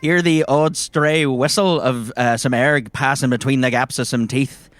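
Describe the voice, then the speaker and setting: A man's voice: medium pitch, steady tone, moderate pace, no echo. A man with an Irish accent narrating a tabletop game as game master in a descriptive, conversational way.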